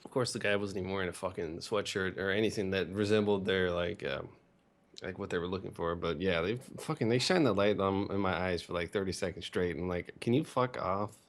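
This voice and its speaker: A man's voice: sits low, steady tone, brisk. A young man telling stories casually as a podcast co-host, rambling and swearing crudely for comedy. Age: young adult.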